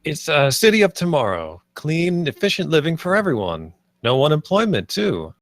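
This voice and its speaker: A man's voice: fairly high pitch, wildly swooping pitch, moderate pace, no echo, clean studio sound. A man playing an earnest, stubborn theme-park designer in a screenplay table read, speaking insistently and pleadingly.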